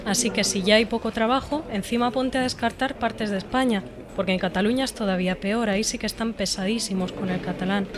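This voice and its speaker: A woman's voice: medium pitch, steady tone, a little fast, crowd noise, close to an everyday voice. A young woman from Spain playing a frustrated, sarcastic unemployed psychologist in a scripted scene. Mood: scornful. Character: frustrated young female job-seeking psychologist, blunt. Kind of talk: scripted dialogue.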